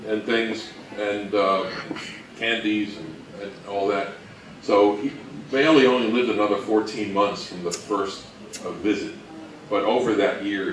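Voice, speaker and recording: A man speaks to a live audience in a fairly deep voice. He presents informally and jokes wryly with the listeners. The sound is echoey and distant, as in a meeting room. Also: a little hiss or hum. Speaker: presenter at an old-time radio convention talk. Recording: roomy, distant-miked live talk.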